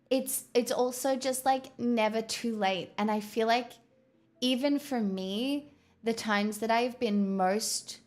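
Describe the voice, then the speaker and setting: A young woman streamer chatting casually and rambling to her viewers. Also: mid-range voice. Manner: chatty, rambling, talks to chat.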